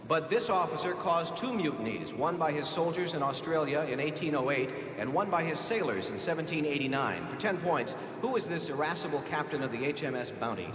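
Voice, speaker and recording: American quiz host in an echoey, noisy hall. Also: male voice, a little high, lively intonation, a little fast, few pauses, a bit bright. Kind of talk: live quiz show hosting, reading questions aloud. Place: large echoey hall, live televised competition. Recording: noisy, echoey 1980s TV broadcast in large hall.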